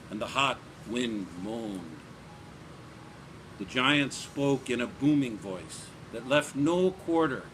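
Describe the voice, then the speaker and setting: A man reading literary fiction aloud at a live event, with deliberate, theatrical emphasis and phrases that fall away at the end. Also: medium pitch, bright, forceful tone, slight background noise, low-quality recording. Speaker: author reading aloud from a novel. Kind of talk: dramatic literary reading of scripted narration.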